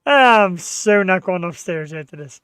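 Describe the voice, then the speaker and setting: A man's voice: sits high, animated, measured pace, a bit bright, clean tone, dry recording, clean studio sound. A man hosting his own podcast, talking casually in an earnest, rambling, self-deprecating way. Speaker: podcast host. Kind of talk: casual chat.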